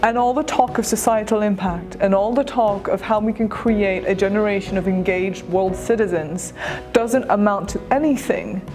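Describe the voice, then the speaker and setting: French-born woman teacher, articulate and earnest, soft music underneath. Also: medium pitch, moderate pace. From France. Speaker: university teacher. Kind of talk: documentary interview.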